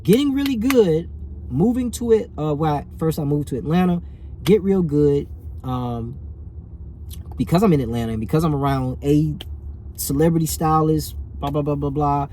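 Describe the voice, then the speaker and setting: A man's voice: fairly high pitch, moderate pace, warm tone, slight background noise. A man, telling a personal story casually and animatedly, as if to viewers. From rural Alabama, US. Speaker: vlogger telling personal stories.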